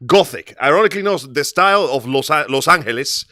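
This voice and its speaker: A man's voice: on the high side, expressive, fast talker, pushed and bright, no room sound, clean studio sound. A man from Cuba chatting casually and at rambling length as co-host of a show.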